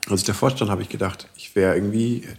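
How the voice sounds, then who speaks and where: Fast-talking man, slightly low voice. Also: fairly even intonation. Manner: playful, wry, colloquial. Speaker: podcast guest. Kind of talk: casual podcast banter.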